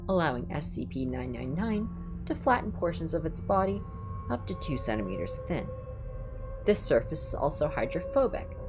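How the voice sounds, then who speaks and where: Woman doing an asmr reading on stream, deep-ish voice that moves around a lot, measured document-style delivery. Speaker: livestream host reading horror stories. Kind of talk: ASMR reading of scripted entries. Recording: music behind the voice. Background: background music.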